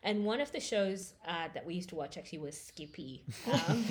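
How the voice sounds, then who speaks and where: A woman's voice: mid-range voice, big pitch swings, measured pace. Woman from Zimbabwe chatting with faint noise. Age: 30s. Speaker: podcast guest. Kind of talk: casual podcast chat.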